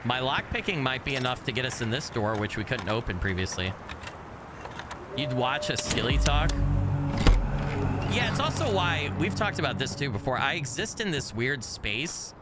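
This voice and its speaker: A man's voice: medium pitch, very animated, moderate pace. Man, american, faint regional accent, rambling game streamer, faint outdoor noise behind. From United States. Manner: rambling, restarts sentences often. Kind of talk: casual gameplay chat with viewers.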